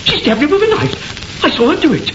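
A man playing a frantic, frightened servant under arrest in an old radio horror play, panicky and excitable. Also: extremely high pitch, fairly quick, full character voice. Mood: panicked. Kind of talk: 1930s radio horror acting. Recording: noisy, muffled, echoing old radio recording.

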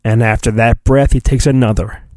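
A man hosting a podcast, giving a theatrical mock-dramatic reading of a storybook with drawn-out phrasing and goofy, rambling asides. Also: mid-range voice, fairly even intonation, noisy background.

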